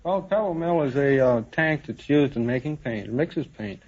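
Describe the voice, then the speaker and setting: A man's voice: mid-range voice, lively intonation, moderate pace, a little mellow. An American man in his twenties giving polite, plain answers as a contestant on a 1950s radio quiz. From United States, California. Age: 20s. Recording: muffled 1950s radio recording.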